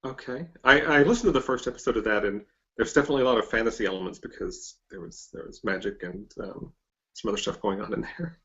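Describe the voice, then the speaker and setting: A man hosting a casual interview, speaking in a hesitant, halting way and often repeating himself. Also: on the low side, sharp tone, highs cut off. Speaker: podcast interviewer, host.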